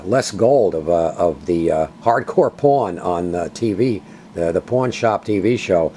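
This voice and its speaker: A man's voice: lowish voice, slight background noise. An older man, a longtime radio collector, talking casually with many hesitations as he shows an antique radio at home. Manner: relaxed, folksy, many uhs. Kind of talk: casual show-and-tell.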